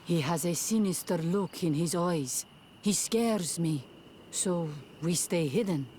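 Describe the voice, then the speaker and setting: A woman playing an earnest, wary older mother from an isolated village in a video game, speaking gravely. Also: fairly low pitch, takes it slowly, mellow, a little hiss or hum. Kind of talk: video game dialogue acting.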